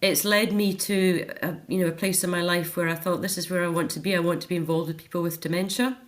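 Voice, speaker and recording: A woman's voice: fairly low pitch, steady tone, fast talker. A middle-aged Scottish woman talking earnestly and reflectively as a guest in a personal interview. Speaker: interview guest, author and care home volunteer.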